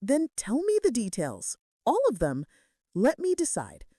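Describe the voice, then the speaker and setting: Woman acting a firm, devoted romance heroine, lively pitch. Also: measured pace, dry recording, clean studio sound, heavily acted. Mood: fierce, insistent. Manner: fierce, firm, earnest. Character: devoted, firm young woman assistant reassuring her dangerous lover. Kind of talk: romance audiobook dialogue.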